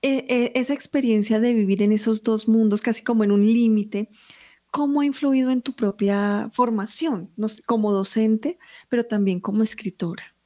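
Female interviewer, warm and mellow, meandering questions, lots of tag questions. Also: fairly high pitch, normal speed. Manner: rambling questions full of tag questions.

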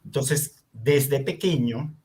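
An older man, raised speaking Hungarian, talks through his story in an interview. His voice sits at an ordinary middle pitch, and he pauses often with fillers as he thinks. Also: a little mellow, slightly rough. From Venezuela. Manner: reflective, unhurried storytelling with many fillers. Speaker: artist guest. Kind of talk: conversational interview.

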